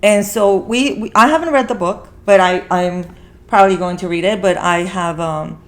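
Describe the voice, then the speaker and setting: A woman's voice: medium pitch, normal speed. Rambling woman hosting a casual podcast. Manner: rambling, repeats and rephrases herself. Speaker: podcast host. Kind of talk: casual conversational podcast talk.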